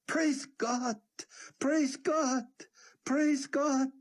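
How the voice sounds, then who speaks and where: A man voicing a grown man overwhelmed with emotion, crying out high and excited. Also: takes it slowly, breathy edge, full character voice. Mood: exultant.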